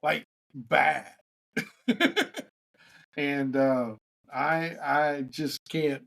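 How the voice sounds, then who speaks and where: Man co-host, loose banter, somewhat higher-pitched, stumbles over words. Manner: chatty, with stumbles and repeated words. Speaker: podcast co-host. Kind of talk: casual podcast banter and interviewing.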